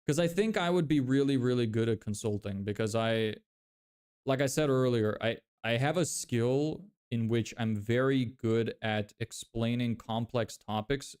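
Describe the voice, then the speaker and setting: A man's voice: mid-range voice, a little mellow, clean tone. A young man whose first language is Lithuanian, chatting casually on a livestream as he reads and answers chat, rambling with many fillers and restarts.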